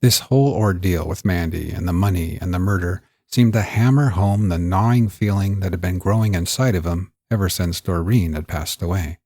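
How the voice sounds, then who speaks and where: A man hosting and narrating scripted crime fiction in a measured, theatrical way, in the manner of old-school mystery shows. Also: sits low, dark tone, husky, no echo, studio quality. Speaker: podcast host and narrator.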